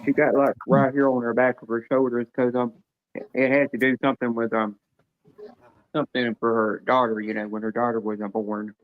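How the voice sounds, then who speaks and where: Halting man on a dull line. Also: mid-range voice, level tone. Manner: halting storytelling with many ums. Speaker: panel guest. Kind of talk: casual chat.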